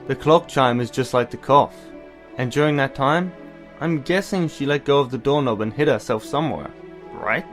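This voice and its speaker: A man playing a caring, bookish older brother in an audio drama, explaining things in a measured, slightly formal way. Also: medium pitch, a bit bright, faint music behind, lightly acted. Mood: knowing, patient. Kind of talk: anime-style audio drama acting.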